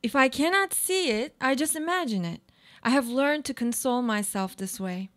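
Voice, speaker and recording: An actress plays a guarded, weary woman in a live radio play. Her voice sits fairly high, and she delivers literary lines deliberately, as a declamatory monologue of self-consolation. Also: expressive, fairly bright tone. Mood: self-consoling.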